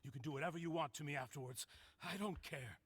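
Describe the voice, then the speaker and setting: An actor playing a desperate convict in an anime game, pleading earnestly and stammering with urgency. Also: male voice, fairly high pitch, quick pace, a bit bright, husky.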